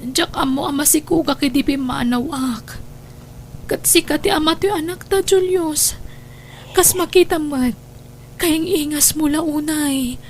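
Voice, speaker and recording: Woman acting a high-voiced, emotional young wife. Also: a little slow, darkish tone, a little hiss or hum. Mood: remorseful, tender. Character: repentant, affectionate young wife and mother, emotional. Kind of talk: Ilocano radio drama acting.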